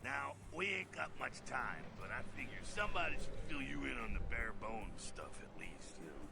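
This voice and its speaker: A man playing a jovial, streetwise vampire mentor in a video game, with gravelly voice and familiar, folksy slang. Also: mid-range voice, very animated, normal speed, music in the background. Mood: casual, confiding. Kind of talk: animated video game voice acting.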